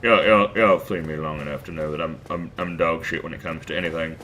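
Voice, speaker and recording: A man's voice: very deep voice, steady tone, fast, bright, forceful tone, slight background noise. A man commenting casually on his gameplay, rambling, crude and self-deprecating, a bit stuffed up from a cold. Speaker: let's play host.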